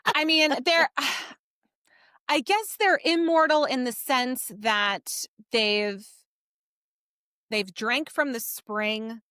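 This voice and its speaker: A woman's voice: mid-range voice, bright, forceful tone, clean tone, no echo, studio quality. A woman co-hosting a podcast, casually recapping and discussing a book in an informal, explanatory way. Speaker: podcast co-host.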